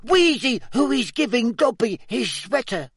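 A man voicing a squeaky, excitable male house-elf servant who speaks of himself in the third person. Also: a little slow, slightly rough, dry recording, studio quality, exaggerated voice. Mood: urgent.

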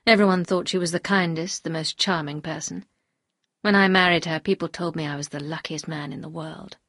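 Lady doing a bitter anguished husband for an audiobook, low n husky, grave, like he's confessing. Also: fairly even intonation, a little fast, fairly bright tone, dry recording, clean studio sound, heavily acted. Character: bitter, anguished upper-class husband confessing dark secrets. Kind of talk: audiobook character dialogue.

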